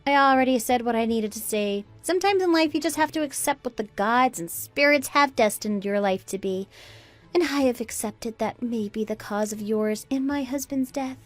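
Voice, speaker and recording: A woman's voice: fairly high pitch, moderate pace, faint music behind, lightly acted. A woman playing a fed-up, sarcastic daughter-in-law in a melodramatic radio drama. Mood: cold, sarcastic. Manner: sarcastic, taunting. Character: scornful, fed-up adult daughter-in-law, Anna, defiant and mocking. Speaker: voice actor in an online radio drama.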